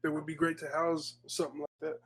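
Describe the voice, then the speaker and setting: A man's voice: a little high, little pitch movement, fairly quick, slightly rough, dry recording. A man in his late twenties, a podcast guest, speaking hesitantly with many ums and uhs in casual chat. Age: 20s.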